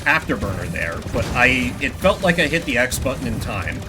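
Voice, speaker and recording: A man's voice: medium pitch, sing-song, moderate pace. A man doing casual live gaming commentary, chatting with viewers in a rambling, self-deprecating, sweary way. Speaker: video game streamer. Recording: music behind the voice. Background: video game music and sound effects.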